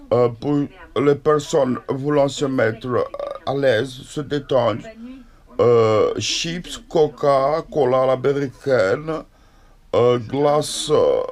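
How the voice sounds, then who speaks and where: A man talking in a halting way, with many hesitations between words. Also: medium pitch, darkish tone. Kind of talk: casual monologue.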